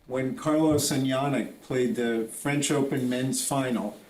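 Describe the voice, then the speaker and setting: A man's voice: medium pitch, unhurried, a little mellow. A man asking questions as a reporter at a press conference. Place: indoor press conference room. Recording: roomy, echoing press room.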